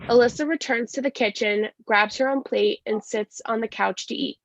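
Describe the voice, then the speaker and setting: A woman's voice: on the high side, steady tone, normal speed, dry recording, highs cut off. A woman giving scripted narration, reading stage directions at a play reading.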